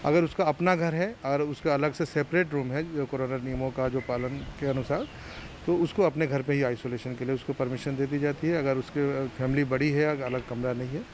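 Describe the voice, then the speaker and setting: A man's voice: medium pitch, fairly even intonation, moderate pace, darkish tone. A man, an official, explaining procedures in an unscripted interview outdoors. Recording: outdoor field sound with faint traffic noise.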